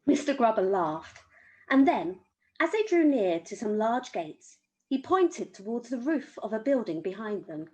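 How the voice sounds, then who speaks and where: A woman's voice: fairly high pitch, sing-song, unhurried, darkish tone, poor audio. A woman reading a children's story aloud as an amateur storyteller.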